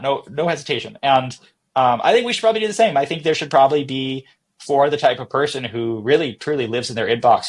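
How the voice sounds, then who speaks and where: A man's voice: medium pitch, breathy edge. A man being interviewed as a startup boss, talking informally and explaining his thinking with examples and questions he poses himself. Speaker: tech startup CEO, interview guest. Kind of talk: conversational podcast interview.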